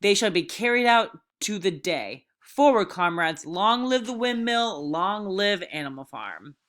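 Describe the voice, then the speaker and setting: A woman voicing a commanding, angry boar who makes loud, vengeful speeches to farm animals in a storybook read-aloud. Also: medium pitch, animated, measured pace, pitched above the performer's own voice. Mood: commanding, rallying.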